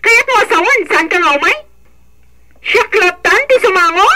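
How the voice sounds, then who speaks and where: A woman's voice: extremely high pitch, lively intonation, normal speed, very noisy. An actress playing an exasperated young mother who complains sharply and blames her husband in a radio drama. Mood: angry, blaming. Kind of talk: Ilocano radio drama acting.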